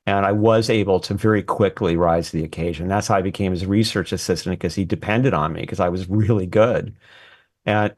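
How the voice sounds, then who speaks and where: A man's voice: lowish voice, a little mellow. A man talking as an interview guest, telling a personal story conversationally and acting out bits of remembered dialogue. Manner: acts out quoted dialogue while reminiscing. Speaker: interview guest, former research assistant and scholar. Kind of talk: animated anecdotal storytelling in conversation.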